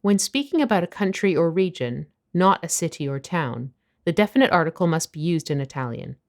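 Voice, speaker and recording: A woman narrating a scripted language lesson, explaining phrases clearly and at a measured pace. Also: on the low side, animated. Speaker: language teacher.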